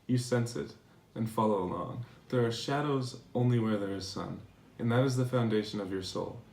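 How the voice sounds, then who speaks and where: A man playing a devoted philosopher reading his tender love letters aloud in a measured, earnest dramatization. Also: on the low side, gravelly, darkish tone. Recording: echoey room, distant mic, faint noise.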